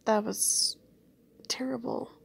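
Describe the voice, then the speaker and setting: A woman voice actor muttering quietly and self-critically to herself between takes. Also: takes it slowly, whispered. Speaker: amateur voice actor.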